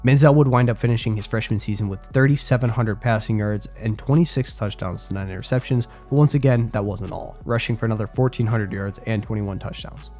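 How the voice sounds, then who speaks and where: A man's voice: lowish voice, a little fast, few pauses, a little mellow. Congested young man narrating football history. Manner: casual, chatty asides to viewers. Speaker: sports video creator. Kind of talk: scripted sports narration. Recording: faint music behind voice.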